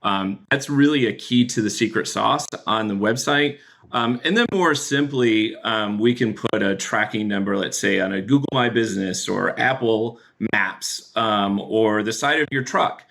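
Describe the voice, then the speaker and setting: A man explaining a business software product as a podcast guest, talking in a relaxed way with many fillers. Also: fairly low pitch, unhurried, vocal fry. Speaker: guest, chief product officer.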